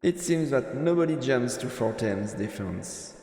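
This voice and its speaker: Guy reading a visual novel out loud on stream, creaky voice, expressive, reverby room. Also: mid-range voice, measured pace. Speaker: streamer, let's player. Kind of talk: reading visual novel narration aloud with commentary. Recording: echoey reverberant room, music behind. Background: game music.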